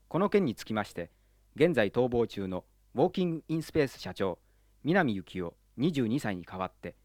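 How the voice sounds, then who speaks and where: A man's voice: medium pitch, sing-song, normal speed, a little mellow, no room sound, studio quality, close to an everyday voice. A man playing a formal, solemn announcer for news bulletins and commercials in an amateur radio drama.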